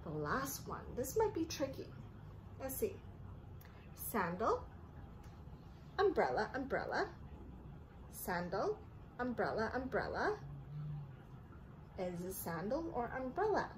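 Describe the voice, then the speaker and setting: Slow talking lady doing kids story time, super up and down sing-song voice, noisy home recording. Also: a little high, wildly swooping pitch. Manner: sing-song, addressing young children with simple questions. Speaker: children's story time host and teacher. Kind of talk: children's read-aloud and teaching. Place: living room indoors.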